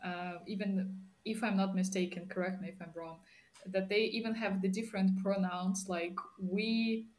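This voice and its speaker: A woman's voice: mid-range voice, steady tone, normal speed. A woman whose first language is Russian, chatting in English and asking pointed questions. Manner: questioning, opinionated. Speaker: conversation participant. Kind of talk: casual chat.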